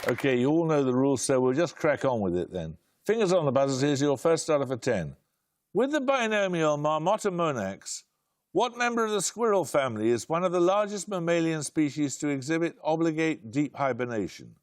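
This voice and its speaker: Brisk, dry British quiz host. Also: male voice, on the high side, sing-song. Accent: British English. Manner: brisk, dry and wry, clipped delivery. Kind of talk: studio quiz hosting, reading questions. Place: television studio.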